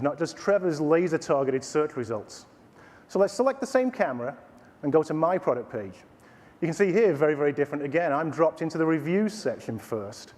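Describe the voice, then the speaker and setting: A man's voice: fairly high pitch, darkish tone. A man in his forties with a Texas accent, a company CEO giving a staged product demo with playful, showman-like asides. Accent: Texan. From Texas, USA. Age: 40s. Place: conference stage in a large hall. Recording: reverberant conference hall.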